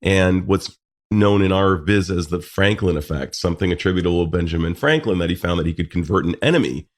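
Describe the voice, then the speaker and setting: A man's voice: deep voice, brisk. A man hosting an interview podcast, reflecting on the guest's ideas in a thoughtful, articulate way with some clinical terms. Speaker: podcast host, psychologist.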